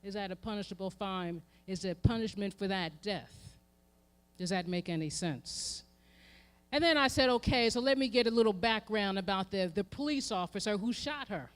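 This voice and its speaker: A woman with an American accent delivers an impassioned sermon, using emphatic repetition and swelling from hushed to forceful. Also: mid-range voice, normal speed. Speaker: reverend speaking at a memorial event. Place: live event before an audience.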